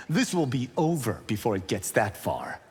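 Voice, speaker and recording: An actor playing a proud, arrogant young fighter in a video game, self-assured and cocky, with reverb on the voice. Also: male voice, medium pitch, very animated, slow pace, darkish tone. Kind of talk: video game character dialogue. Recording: reverberant game audio, faint noise.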